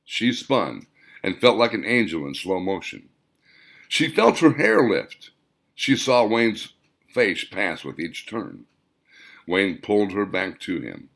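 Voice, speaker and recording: A man reading his own novel as an audiobook, with dramatic, expressive storytelling. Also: sits low, slow, gravelly. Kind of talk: scripted audiobook narration.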